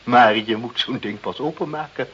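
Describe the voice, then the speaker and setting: An actor in a radio play as a pompous, cynical boss who schemes in a condescending, self-satisfied way. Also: male voice, mid-range voice, normal speed, fairly bright tone, close to an everyday voice. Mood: smug, scheming. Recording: muffled old radio recording with hiss.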